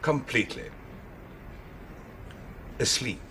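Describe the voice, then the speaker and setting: An actor playing a formal, dry-witted young Swedish gentleman with a Swedish accent who talks in a precise, literal way in a 1970s television period drama. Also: medium pitch, expressive, slow pace, a little hiss or hum. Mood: drily amused. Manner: precise, literal, drily ironic.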